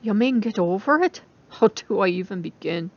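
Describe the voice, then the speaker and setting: A woman's voice: medium pitch, lively intonation, moderate pace, lo-fi sound. A woman voicing a troubled, defensive young female student in a story reading, awkward, hesitant and self-conscious. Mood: anxious. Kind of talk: dramatic audiobook reading.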